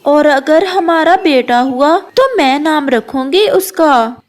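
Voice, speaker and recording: A woman puts on a sorrowful cartoon bird wife. Her voice is very high and slow. She sounds tender and plaintive, a soft-hearted wife. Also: lively intonation. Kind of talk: Hindi moral-story cartoon dialogue.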